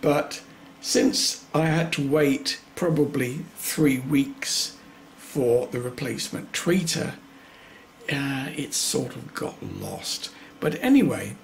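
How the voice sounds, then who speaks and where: A man narrates an informal hands-on demonstration at a slow pace. His voice is creaky and mid-pitched, and his manner is matter-of-fact and a little hesitant. There is faint noise behind him. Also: animated. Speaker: hobbyist repairer presenting own video.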